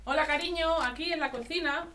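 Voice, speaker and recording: A woman's voice: sits high, brisk, bright, forceful tone, a little hiss or hum. A woman playing an affectionate, evasive wife in conversational, domestic radio drama dialogue.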